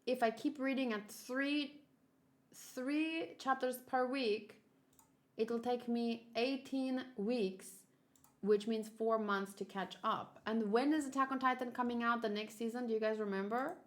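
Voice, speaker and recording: A woman with a fairly high voice hosts a casual livestream. She rambles and thinks aloud, circling back over her words as she talks to viewers. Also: steady tone, unhurried. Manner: rambling, thinking aloud, often repeating herself. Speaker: streamer hosting a manga read-along.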